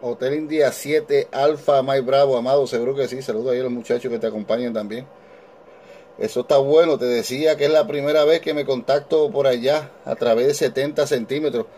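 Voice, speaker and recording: A man's voice: mid-range voice, fairly even intonation, brisk, mellow, slight background noise. A man with a Puerto Rican accent, talking cordially in a casual ham radio exchange and repeating his phrases. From Puerto Rico. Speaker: amateur radio operator.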